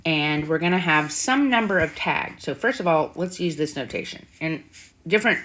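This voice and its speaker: A woman's voice: deep voice, expressive, normal speed, fairly bright tone, rough recording. A woman teacher giving an informal math tutorial, thinking aloud and often checking in with short questions. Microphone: screen-recording voice-over. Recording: faint background noise with clicks.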